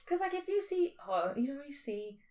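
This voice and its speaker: A woman's voice: high voice, sing-song, brisk, darkish tone. Woman chatting casually on a podcast. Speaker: podcast co-host. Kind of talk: casual chat, hypothetical musing.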